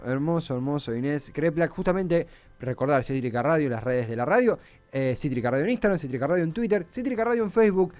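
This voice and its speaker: A fairly high male voice with a Rioplatense Argentine accent hosts casual radio over faint music. He is effusive and likes to repeat words for emphasis. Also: a little mellow. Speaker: radio show host.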